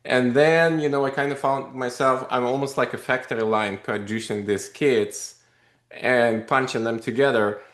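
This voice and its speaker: A man, a company founder telling his story in a casual interview, animated and rambling with many fillers. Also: medium pitch, normal speed. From Russia. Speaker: podcast guest, company founder. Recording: echoey room.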